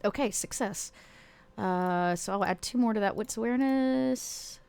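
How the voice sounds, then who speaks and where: A woman's voice: medium pitch, unhurried, vocal fry, darkish tone, clean tone. A woman talking casually as a player in a tabletop roleplaying game, thinking aloud about her moves.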